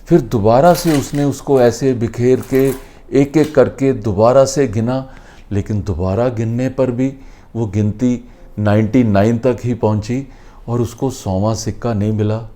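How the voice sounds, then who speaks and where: Male storyteller, Indian accent, soft-edged dark tone, very unhurried delivery for moral tales. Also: mid-range voice. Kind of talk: narrating an inspirational moral story in conversational Hindi.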